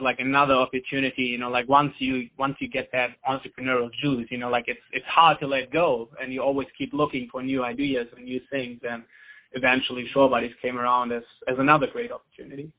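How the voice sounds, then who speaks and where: A man from Germany with a German accent, a company founder chatting casually as an interview guest, peppering his talk with "like" and "you know". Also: mid-range voice. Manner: relaxed, heavy use of "like" and "you know". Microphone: phone. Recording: tinny phone line.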